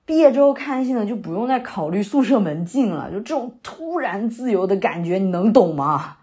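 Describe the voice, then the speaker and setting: A woman's voice: medium pitch, lively intonation, fairly quick, warm tone, close to an everyday voice. A woman acting a young, sentimental, theatre-loving new graduate in a naturalistic audio drama, speaking in a hesitant, conversational way. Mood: wistful. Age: young adult. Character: young woman fresh out of university, wandering, sentimental theatre lover.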